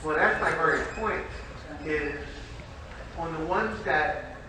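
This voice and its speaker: A man asking deliberate, probing questions as an audience member at a talk. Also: a little high. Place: echoey meeting room, off the microphone. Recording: very echoey, distant, noisy room.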